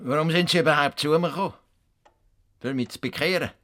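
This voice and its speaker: Grumbling, stubborn old Swiss farmer. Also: male voice, a little high, fast, pushed and bright, no room sound, clean studio sound. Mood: angry, defiant. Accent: Swiss German. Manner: grumbling, defiant, emphatic. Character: stubborn, indignant old male Swiss farmer and self-appointed world reformer. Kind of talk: radio play acting.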